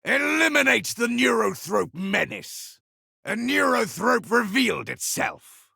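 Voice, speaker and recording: Savage gravelly space marine, high strained pitch, game combat barks, super harsh edge. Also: male voice, sing-song, measured pace, dry recording, studio quality, heavily acted. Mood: fierce battle fury. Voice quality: gravelly, rasping. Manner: forceful barked battle cries. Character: gruff, battle-hardened male space marine warrior, savage and zealous. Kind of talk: video game combat voice lines.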